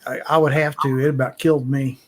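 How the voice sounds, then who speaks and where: A man's voice: mid-range voice, little pitch movement, quick pace, slight background noise. A man in his sixties chatting casually on a panel, easygoing and joking about his age. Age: 60s. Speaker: panel guest. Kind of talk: casual chat.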